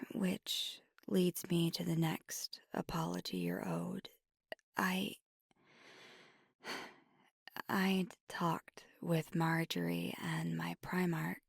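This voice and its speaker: A woman voicing a gentle, remorseful vampire girlfriend in an intimate ASMR roleplay, speaking in a halting, breathy whisper close to the microphone. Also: on the low side, no room sound, full character voice. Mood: hesitant, apologetic. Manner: halting, hushed, mostly whispered confession. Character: gentle, remorseful female vampire girlfriend, anxious, tender confessor. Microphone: close, dry microphone.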